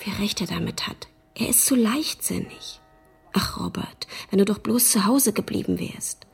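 An actress playing a worried, affectionate sister in a radio drama, reading letters in an intimate half-whisper. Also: female voice, mid-range voice, moderate pace, soft background music. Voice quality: breathy, half-whispered, creaky.

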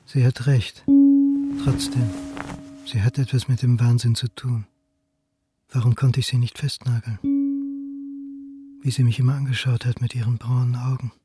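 A man's voice: medium pitch, little pitch movement, normal speed, long pauses, loud music behind the voice, lightly acted. A man acting a brooding, stubborn history lecturer in a naturalistic radio drama, terse and suspicious, with clipped sentences. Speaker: actor in radio crime drama.

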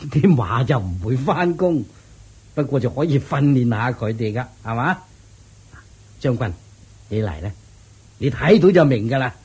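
A man's voice: mid-range voice, expressive, normal speed, pauses often, a little mellow, slightly rough. A man with a Hong Kong Cantonese accent playing a thrifty, sly royal chancellor in a radio drama, coaxing and explaining in a placating way. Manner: chuckling, coaxing, explaining patiently.

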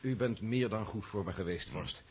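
Timid, polite young count. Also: male voice, on the low side, moderate pace, breathy edge. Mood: polite, tentative. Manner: tentative, deferential. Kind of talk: radio drama acting.

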